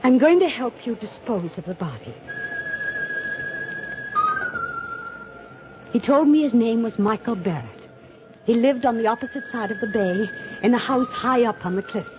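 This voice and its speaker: An actress playing an anxious young woman hiding a crime, speaking dramatically and deliberately in a 1950s radio mystery. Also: on the high side, animated, natural voice. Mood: tense, secretive. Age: young adult. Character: anxious young woman hiding a murder, narrating her ordeal. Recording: muffled old radio broadcast, music behind.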